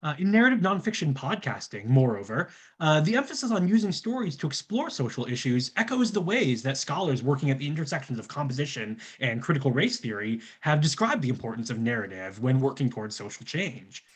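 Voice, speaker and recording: A man's voice: medium pitch, sing-song, brisk, few pauses, slightly rough. A man reading a scripted academic presentation in formal scholarly wording, often putting an "uh" before phrases.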